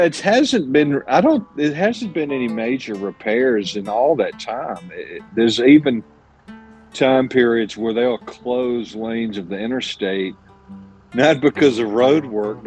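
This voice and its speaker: A man's voice: medium pitch, lively intonation, measured pace, darkish tone, faint music behind. A man who drives his own truck, answering interview questions in a plainspoken, earnest way, with many restarts and self-corrections. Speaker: owner-operator truck driver, interview guest.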